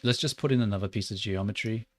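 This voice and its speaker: A young Australian man livestreaming while he works, chatting loosely with lots of "like" and muttering curses at his software. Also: on the low side, fast, no echo. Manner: rambling and loose, heavy on "like", muttering and swearing at the software. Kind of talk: casual livestream chat while 3D modeling.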